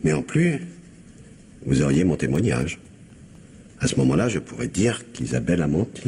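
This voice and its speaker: An actor playing a scheming, indebted blackmailer with falsely courteous, insinuating manners in a French radio mystery. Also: male voice, low-pitched, wildly swooping pitch, normal speed, gravelly, warm tone, full character voice. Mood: bargaining. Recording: faint background noise.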